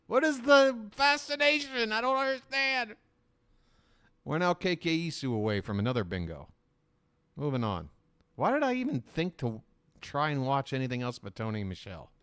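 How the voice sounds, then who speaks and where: A man's voice: very animated, normal speed, fairly bright tone, smooth. A man hosting a live reaction stream, riffing sarcastically over a shopping broadcast and laughing often. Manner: sarcastic, jokey, laughs often, voice jumps high when mock-ranting.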